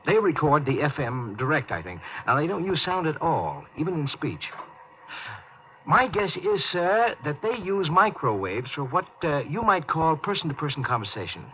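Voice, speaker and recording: An actor playing an earnest, deferential spaceship communications officer in 1950s radio drama, explaining things respectfully and hesitantly. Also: male voice, on the high side, big pitch swings, normal speed, slight background noise. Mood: explanatory, respectful. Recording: dull, band-limited 1950s radio recording.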